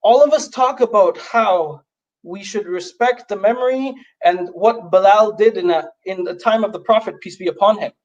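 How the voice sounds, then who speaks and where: A man from Canada with a notably high voice for a man, speaking as a guest on a livestream. He talks bluntly and with emphasis, leaning hard on his key points. The sound is dull, as from a lo-fi stream. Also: normal speed, darkish tone. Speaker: guest, youth advocate. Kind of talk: livestream conversation.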